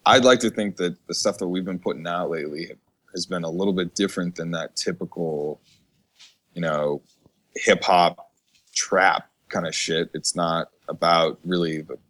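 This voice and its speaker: A man's voice: low voice, steady tone, normal speed, a bit bright. A man talking casually as a podcast guest, slangy and given to casual swearing. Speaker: podcast guest, music producer. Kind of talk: casual chat.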